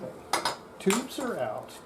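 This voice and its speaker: An electronics restorer giving an unscripted hands-on repair demonstration, thinking aloud in a halting way. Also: medium pitch, huge pitch swings. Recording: clear background noise.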